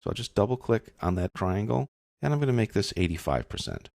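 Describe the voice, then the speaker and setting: A man narrating a software tutorial as an instructor, explaining step by step in a casual way. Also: fairly low pitch, sing-song, fairly quick, creaky, no room sound, studio quality.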